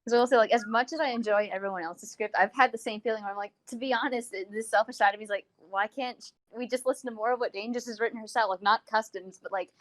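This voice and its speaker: A woman chatting casually as a podcast co-host, gushing and rambling like an excited fan, peppering her talk with "like". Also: fairly high pitch, fast talker, hardly any pauses, dry recording, poor audio. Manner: gushing, rambling, frequent use of "like". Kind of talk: casual fan interview chat.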